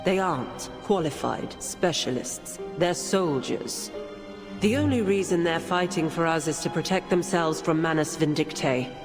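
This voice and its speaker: An actress playing a stern, skeptical tribunal judge in a game, questioning in a formal, clipped manner. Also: female voice, deep voice, unhurried. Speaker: presiding judge. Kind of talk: dramatic game voice acting. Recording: long hall reverb, music behind.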